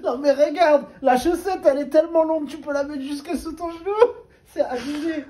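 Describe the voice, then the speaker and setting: A man's voice: very high voice, brisk, dark tone. A young man chatting casually for a vlog, teasing a friend and laughing, recorded on a handheld phone. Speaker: vlog co-host, coaching trainee. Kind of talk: joking casual chat.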